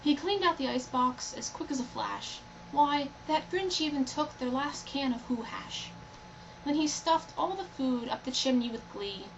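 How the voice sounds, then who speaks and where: A woman narrates a rhyming children's story expressively in a fairly high voice. The recording is somewhat muffled, with clear noise behind her. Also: animated, a little slow. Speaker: storybook reader. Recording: dull, lo-fi, loud background noise.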